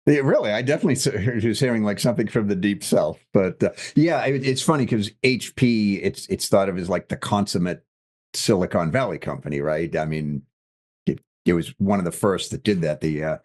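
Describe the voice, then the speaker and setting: A man's voice: fairly low pitch, fairly quick, a little mellow. A man hosting an interview podcast who asks chatty, loosely rambling questions with some joking. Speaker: podcast host.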